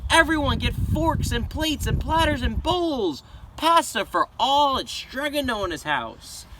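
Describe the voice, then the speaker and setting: A man voicing an eager, boastful, simple young villager in a storybook, given to chanting and shouting. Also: very high voice, unhurried, noisy background, voice pushed up. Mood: excited, triumphant. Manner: excitable, sing-song chanting and shouting.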